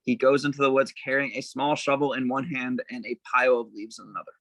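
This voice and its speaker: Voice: mid-range voice, little pitch movement, quick pace, a bit bright, dry recording, poor audio. Dry-sounding table-read narrator of stage directions.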